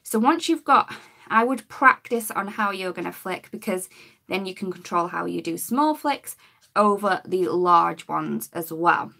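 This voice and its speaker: A woman, her voice a bit croaky, demonstrating coloring with markers in a chatty live-stream lesson. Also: lowish voice, expressive, measured pace, sharp tone. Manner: chatty, talks while working, greets viewers. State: slightly croaky voice.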